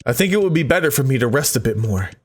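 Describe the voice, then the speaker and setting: Animated man reading a story game aloud. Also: fast talker, slightly rough. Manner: dramatic reading with high-pitched joking asides. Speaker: gaming video host. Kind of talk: let's play, reading visual novel text aloud.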